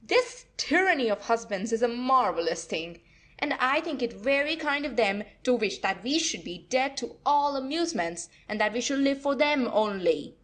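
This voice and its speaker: A haughty young wife, played by a woman in an old stage comedy audio play. Her high voice swoops with animated, theatrical inflection, flippant and defiant. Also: moderate pace, lightly acted.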